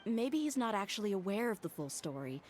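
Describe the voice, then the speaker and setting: A woman's voice: mid-range voice, very animated, a little fast, a little mellow, faint music behind, close to an everyday voice. A woman voicing a blunt, loyal female mercenary bodyguard in a game, frank and casual in her speech. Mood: earnest. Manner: frank and casual, with heavy sighs.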